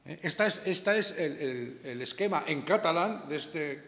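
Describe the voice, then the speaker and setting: A man's voice: on the high side, animated, moderate pace, fairly bright tone. An older man with an accent from Spain giving an informal, emphatic lecture, often digressing and asking rhetorical questions. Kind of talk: informal academic lecture to an audience. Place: indoor lecture room, seated at a table. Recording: echoey lecture room recording.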